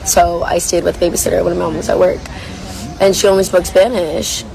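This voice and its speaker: A young woman with a hoarse, worn voice chats casually while reading viewer comments on a livestream from a coffee shop. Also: on the low side, steady tone, fairly quick, a crowd in the background, rough recording. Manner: chatty, rambling, reads comments aloud. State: hoarse, lost voice. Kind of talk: casual chat.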